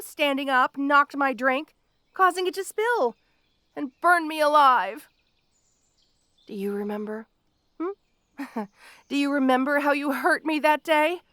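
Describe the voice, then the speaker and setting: A woman playing a petty, obsessive supervillain in an audio roleplay, gloating haughtily and speaking straight to the listener with theatrical pauses. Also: high-pitched, a bit bright, no room sound, studio quality, exaggerated voice. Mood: menacing, aggrieved. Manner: gloating, haughty, dramatic pauses and sudden swoops. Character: petty, obsessive, melodramatic female supervillain with a grudge.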